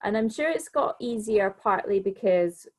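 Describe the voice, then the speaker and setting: Candid, informal woman with medium pitch. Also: animated, normal speed, darkish tone. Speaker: arts organisation leader, panellist. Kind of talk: conversational panel answer.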